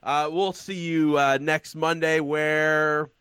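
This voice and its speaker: A man's voice: on the high side, little pitch movement, slow, a bit bright, clean tone, dry recording, clean studio sound. A man hosting a sports podcast, wrapping up the show with jokey quips. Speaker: podcast host. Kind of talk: casual comedic sports chat.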